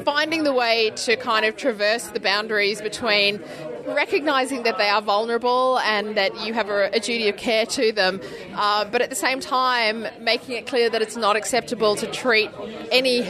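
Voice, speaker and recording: A woman's voice: on the high side, fairly even intonation, moderate pace. An Australian woman speaking as a guest in a casual interview, thoughtful and articulate. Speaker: interview guest, clinical trials health professional. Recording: busy crowd chatter behind. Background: crowd chatter.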